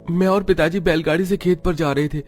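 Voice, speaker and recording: A man's voice: fairly high pitch, fairly even intonation, a little fast, a little mellow, voice pushed up, close to an everyday voice. A man voicing an easygoing young husband in a cartoon story. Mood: cheerful, explaining.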